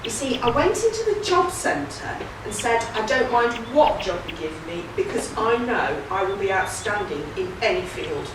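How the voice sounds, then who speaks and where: A woman's voice: mid-range voice, sing-song, normal speed, few pauses, natural voice. A woman playing a hapless, silly wandering minstrel in a stage pantomime, comic and exaggerated with hesitant turns. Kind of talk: broad pantomime stage acting. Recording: very echoey hall, distant, clear noise.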